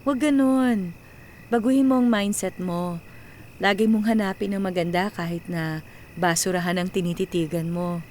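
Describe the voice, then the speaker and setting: A woman's voice: lowish voice, normal speed, a little mellow, a little hiss or hum, lightly acted. An actress playing a young Filipino woman graduate, teasing, affectionate and bossy, in a radio drama. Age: young adult. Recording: music playing under the voice.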